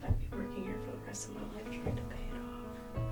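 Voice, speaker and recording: A woman in an ASMR roleplay, playing a private museum guide in a slow, coaxing whisper that repeats phrases. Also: flat delivery, over loud music. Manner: coaxing sales-pitch tone.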